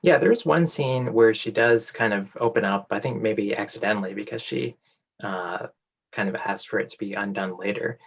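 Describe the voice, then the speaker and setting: A man's voice: on the low side, normal speed, vocal fry, breathy edge. A man interviewing an actor, asking thoughtful questions with some "uh" fillers. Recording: dull lo-fi audio.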